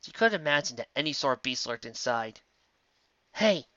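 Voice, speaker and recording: A man reading a story aloud with expressive storytelling. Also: mid-range voice, quick pace, no echo, muffled recording. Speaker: reader. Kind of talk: audiobook narration of fan fiction.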